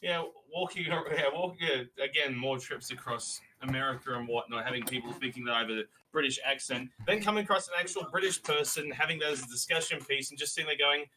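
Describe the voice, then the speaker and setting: An Australian man talking casually in a relaxed group conversation. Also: mid-range voice, fast talker, hardly any pauses, slight background noise, poor audio. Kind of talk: casual chat.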